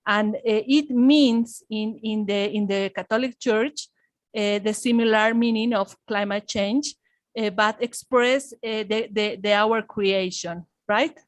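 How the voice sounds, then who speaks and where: A woman's voice: mid-range voice, takes it slowly, no room sound. A woman from Bolivia, representing a church charity and speaking hesitantly as she searches for words. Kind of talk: panel contribution.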